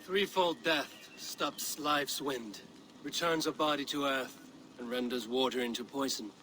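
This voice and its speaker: Game role: blunt, honor-bound Norse fighter, forceful, mid-pitched, terse. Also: male voice, unhurried, slight background noise, poor audio. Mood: composed, formal. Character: proud, blunt Norse warrior seeking to regain honor. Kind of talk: dramatic video game acting.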